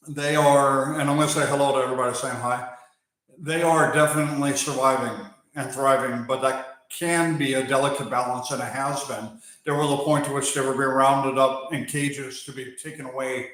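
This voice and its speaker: An American-accented man talks through a live stream at an easy pace, pausing and filling gaps with "uh" as he answers viewers. His voice sits at a middle pitch, and it bounces around a strongly echoing space. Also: steady tone, a bit bright. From Germany. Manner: unhurried, pausing with fillers, answering chat between thoughts. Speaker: wildlife channel host. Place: outdoors or open area while working on a bike. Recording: very echoey space.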